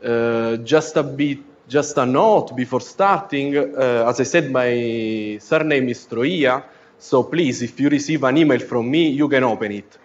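Thirties postdoc, informal conference talk, muffled lecture-hall mic. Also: male voice, medium pitch, measured pace. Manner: joking, informal, with fillers and restarts. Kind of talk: academic lecture. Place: lecture room. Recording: dull lo-fi lecture recording.

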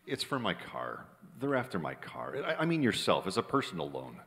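A man's voice: low-pitched, wildly swooping pitch, fairly quick, a bit bright, full character voice. A man playing a cynical, broke screenwriter in an old-time radio drama, with a wry, colloquial delivery. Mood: pleading. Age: middle-aged. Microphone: stand microphone on stage. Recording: reverberant theater stage recording.

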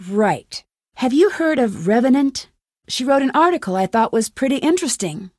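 A woman's voice: high-pitched, sing-song, normal speed, no echo, clean studio sound. A woman voicing a determined, suspicious young amateur detective in a video game, with an earnest, questioning manner. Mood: insistent.

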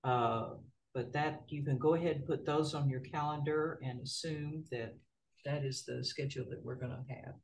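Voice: mid-range voice, moderate pace. Folksy meeting chair, distant mic. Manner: folksy, relaxed, hesitant with many "uh" fillers. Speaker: board chair running a public meeting. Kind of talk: formal meeting procedure mixed with casual remarks. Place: city council chamber.

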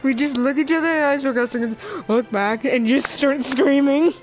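A young woman with a fairly high voice chats casually over a game. She rambles in an excitable, giggly way, with faint game music behind her. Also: brisk, low-quality recording. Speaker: gamer. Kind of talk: casual chat over gameplay.

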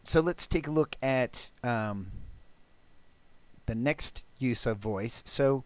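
A man presenting a technical programming demo, explaining step by step in a halting, thinking-aloud way. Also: medium pitch, dry recording. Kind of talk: technical talk with live code demo.

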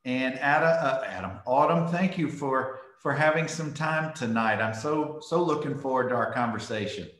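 A man's voice: medium pitch, moderate pace, hardly any pauses, fairly bright tone, reverberant. A middle-aged man, hosting a friendly interview over a video call, often repeating words as he starts a phrase. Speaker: host. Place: home room with school mascot wall.

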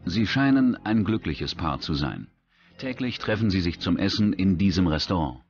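Deep-voiced male documentary narrator. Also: lively intonation, normal speed, husky, highs cut off. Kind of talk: scripted true-crime documentary narration. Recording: music bed behind the voice.

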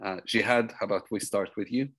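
A man's voice: fairly low pitch, fairly even intonation, fairly quick, fairly bright tone, dry recording. A man in his thirties moderating an online panel, introducing a guest with informal asides, speaking over a laptop webcam from a room with bookshelves. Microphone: laptop webcam on a video call.

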